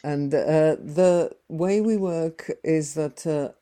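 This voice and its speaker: A woman in her sixties whose first language is Slovak, answering interview questions in a thoughtful way with frequent pauses. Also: deep voice, warm tone. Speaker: interview guest, translator.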